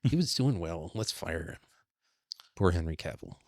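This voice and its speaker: A man's voice: low voice, lively intonation, normal speed, darkish tone, no echo, clean studio sound. A man chatting casually on a podcast panel in a wry, joking manner. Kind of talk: casual chat.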